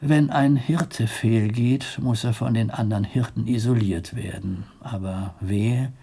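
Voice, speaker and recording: An actor playing a grave, diplomatic abbot in a radio drama, husky and gravelly, speaking formally with weighty pauses. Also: male voice, lowish voice, dark tone, heavily acted. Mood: cautious, confiding. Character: grave, diplomatic male abbot of a medieval monastery.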